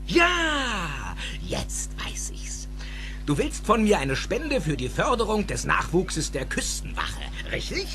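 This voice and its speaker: A man playing an eccentric, excitable scientist in an audio drama, agitated and dramatic, moving between mutters and shouts. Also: high-pitched, takes it slowly, full character voice. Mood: grumpy, dismissive. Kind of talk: audio drama film dialogue. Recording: music behind the voice.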